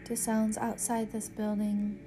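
A woman guiding a yoga nidra meditation in a measured, soothing, instructional way with pauses. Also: medium pitch, monotone, music in the background. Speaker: yoga nidra meditation guide and podcast host. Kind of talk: guided meditation narration.

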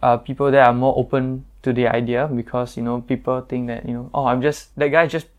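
A young man with a Singaporean English accent and a naturally deep voice, chatting casually as a guest, pausing often with fillers and restarts. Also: little pitch movement. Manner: hesitant, many fillers and restarts. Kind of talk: casual chat.

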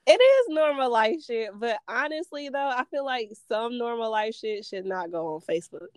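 A woman's voice: a little high, expressive, normal speed, clean tone, no echo. A laid-back woman co-hosting a casual podcast, her voice a little nasal while she recovers from a sinus infection. She talks easily and uses plenty of slang. The sound is dry and close, with almost no room. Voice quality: slightly nasal. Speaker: podcast co-host. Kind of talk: casual chat.

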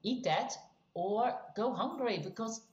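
A woman's voice: a little high, slow, darkish tone. A woman from the Netherlands, a podcast guest chatting casually and emphatically in short bursts. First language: Dutch. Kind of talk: casual chat. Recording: echoey, muffled room sound.

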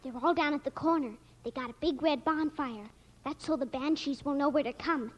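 A woman's voice: high-pitched, brisk, lightly acted. A young girl playing a feisty, morbid little girl in an old radio drama, dramatic and earnest. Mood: gleefully morbid. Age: child. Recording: muffled, noisy old radio recording.

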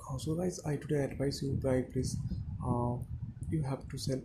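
A young Indian man with Hindi as his first language talks to his listeners in slow, halting English, pausing often on "uh". His voice is gravelly and stays mostly on one note. There is clear noise behind him in the recording. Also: mid-range voice, fairly even intonation. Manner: halting, many "uh" fillers, non-fluent English. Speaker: audio diary speaker. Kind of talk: personal monologue to listeners.

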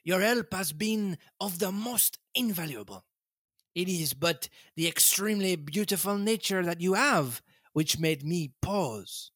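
A man's voice: high voice, lively intonation, unhurried, a bit bright, slightly rough, dry recording, studio quality, voice pushed up, heavily acted. A man voicing a fussy elderly male detective with a put-on French accent, coaxing and ending his points with a questioning hmm. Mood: patient.

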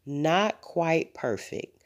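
A woman voicing a sleepy, startled mother in a children's storybook, objecting in drawn-out phrases. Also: deep voice, very animated, full character voice. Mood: disapproving.

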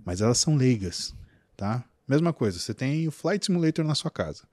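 A man with a Brazilian Portuguese accent, a physician talking on a podcast in an animated, emphatic storytelling way. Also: medium pitch, a little fast, dry recording. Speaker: physician guest. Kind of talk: casual podcast interview.

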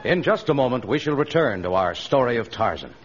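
A man narrates an old radio serial in a dramatic, scripted style. His voice comes through a dull vintage recording, with music clearly behind it. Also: medium pitch, sing-song, a little fast.

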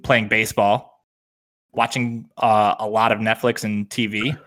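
A man's voice: fairly low pitch, level tone, a little slow, fairly bright tone. A young man co-hosting a podcast, easygoing and chatty. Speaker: podcast co-host. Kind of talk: casual podcast chat.

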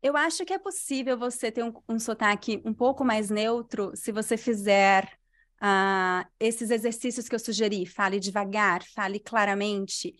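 A Brazilian woman with a mixed Brazilian Portuguese accent, a language teacher answering interview questions in clear, unhurried speech. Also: medium pitch, fairly bright tone. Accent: Brazilian Portuguese, mixed regional. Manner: clear, unhurried diction, completes every phrase.

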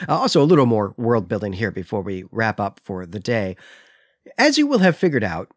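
A man's voice: mid-range voice, dry recording, studio quality. A man co-hosting a podcast, explaining and retelling a story in a conversational, lightly amused way. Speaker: podcast co-host. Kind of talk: conversational literary recap and commentary.

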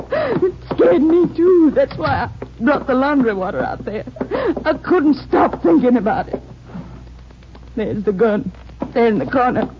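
An actress playing a guilt-ridden rural Southern wife in a 1950s American radio drama. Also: female voice, on the high side. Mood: drained, resigned. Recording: muffled, noisy old radio recording.

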